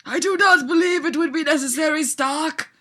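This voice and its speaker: A woman's voice: high voice, moderate pace, a bit bright, voice pushed up. Woman playing a haughty, overwrought god prince. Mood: haughty, harsh. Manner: formal, stiff, overwrought declamation. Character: haughty, curt male god prince in fan fiction.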